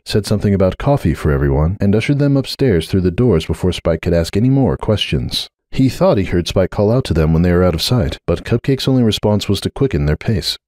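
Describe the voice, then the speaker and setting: A man's voice: lowish voice, darkish tone, no echo, studio quality. A man giving a dramatic scripted narration of a story.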